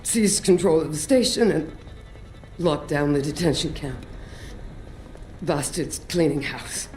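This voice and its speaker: A woman's voice: sits low, a little slow, a little mellow. A woman playing a tough, wry operative in a video game, badly wounded and speaking with labored, pained determination. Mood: pained urgency. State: weak, labored, in pain. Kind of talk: video game dramatic acting. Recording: echoey space with faint music.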